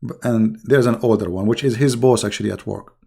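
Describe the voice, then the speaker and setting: Hoarse, taunting man with gravelly voice. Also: medium pitch, brisk, warm tone. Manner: taunting, insulting, rambling. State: hoarse, losing his voice. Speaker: online religious commentator. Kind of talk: mocking casual monologue.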